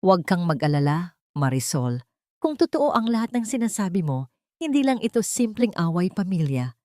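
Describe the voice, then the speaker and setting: Steady, reassuring gentleman lawyer, played by a female narrator, storybook drama, dry sound. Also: lowish voice, moderate pace, no room sound. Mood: calm, firm. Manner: calm, firm, reassuring. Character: calm, protective male lawyer, steady and reassuring. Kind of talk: storybook dialogue.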